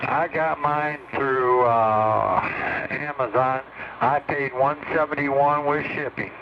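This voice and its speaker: A man's voice: mid-range voice, fairly even intonation, takes it slowly. A man chatting casually as an operator over a CB radio. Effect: CB radio transmission. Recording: muffled, noisy CB radio reception.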